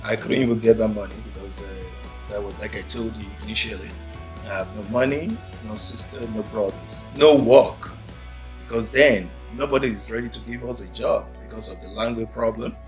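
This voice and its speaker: A man in his forties from Nigeria, a native Yoruba speaker, telling his life story in an interview with unhurried, thoughtful pauses. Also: fairly low pitch. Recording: faint music behind.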